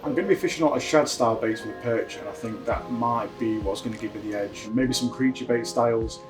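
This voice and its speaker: A man's voice: mid-range voice, fast talker. A man from South Yorkshire answering interview questions about fishing, upbeat and confident. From South Yorkshire, England. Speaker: angler competitor. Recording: distant mic, faint music behind.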